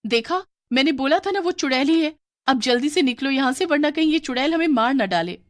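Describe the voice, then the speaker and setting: A woman doing a high, frightened schoolgirl. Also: a little fast, dry recording, clean studio sound. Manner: high, excited, pleading. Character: scared curious young schoolgirl.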